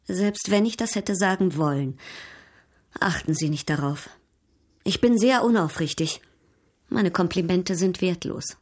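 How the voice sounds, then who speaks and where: Low-voiced, haughty baroness. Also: female voice, unhurried, long pauses. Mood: coy irony. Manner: formal, poised, disdainful. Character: worldly, haughty European baroness, coolly ironic and flirtatious. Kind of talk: German radio drama acting.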